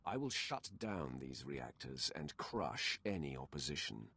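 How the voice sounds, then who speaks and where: An actor playing a menacing, contemptuous evil warrior lord in a video game, cold, terse and commanding. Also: male voice, rumbling low, very animated, measured pace, sharp tone, full character voice. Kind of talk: scripted video game dialogue.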